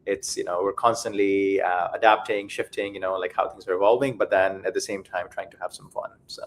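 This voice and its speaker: A man's voice: low voice, fairly even intonation, brisk, poor audio. A man who grew up between Nepal and South Africa, a guest on a show, answering modestly with many fillers. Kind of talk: casual interview.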